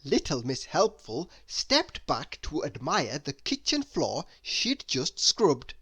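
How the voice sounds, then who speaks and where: A woman reading a children's story aloud in an expressive narrating style. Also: sits low, slow pace, breathy edge. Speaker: storybook reader.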